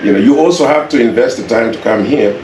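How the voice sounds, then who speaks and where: A Kenyan man speaks conversationally as an interview guest, at a middle pitch. He is earnest and repeats phrases to make his point. The recording is echoey, with some faint noise behind him. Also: quick pace. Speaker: interview guest, property developer. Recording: echoey, distant mic, faint background noise.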